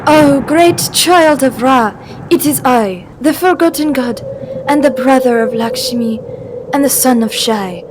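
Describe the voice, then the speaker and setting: A woman's voice: high-pitched, a little slow, background noise, pitched above the performer's own voice. A woman voicing a sassy, spiteful and insecure male god of wishes in a fantasy audio roleplay, theatrical, indignant and whiny. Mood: grandiose.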